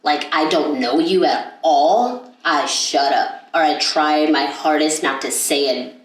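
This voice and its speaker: Young woman podcast co-host, low voice, loose chatty delivery full of fillers, picked up from across an echoey room. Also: slow pace. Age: young adult. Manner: rambling, with many fillers and restarts. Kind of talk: casual chat. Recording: echoey room, mic at a distance.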